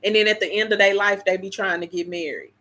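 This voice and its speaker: A woman's voice: mid-range voice, fairly even intonation, fast talker. A woman, co-hosting a casual chat, blunt and emphatic as she talks. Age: 40s. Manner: blunt, emphatic, laughs loudly. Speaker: co-host.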